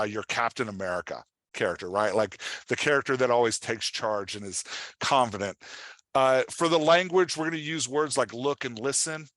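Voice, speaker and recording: A man's voice: mid-range voice, no room sound. Man teaching, close dry sound. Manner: animated explaining with examples, often checking in with "right?". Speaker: writing coach giving a workshop. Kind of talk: informal instructional presentation.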